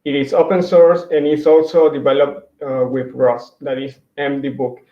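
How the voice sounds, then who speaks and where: A man walks through his slides at an ordinary pitch, pausing on fillers and restarting his sentences as he goes. His voice comes through a video-call mic in an echoey room. The sound is somewhat dull and lo-fi. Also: level tone. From Mexico. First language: Spanish. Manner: hesitant, many restarts and fillers. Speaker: conference speaker. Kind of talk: virtual tech talk with slides. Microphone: computer mic on a video call.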